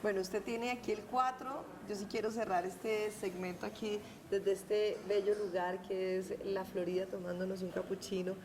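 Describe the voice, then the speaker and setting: A woman's voice: medium pitch, little pitch movement, normal speed, hardly any pauses, crowd noise. A woman hosting a television interview in a city café, asking her questions at length.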